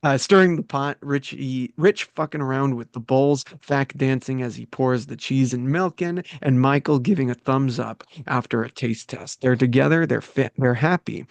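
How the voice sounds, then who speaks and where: A man reads stage directions briskly, slipping in jokes and swearing as he goes. His voice is mid-range and close, and it sounds dry, with no room around it. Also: medium pitch, creaky, no room sound. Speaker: writer reading stage directions. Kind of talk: casual table-read narration.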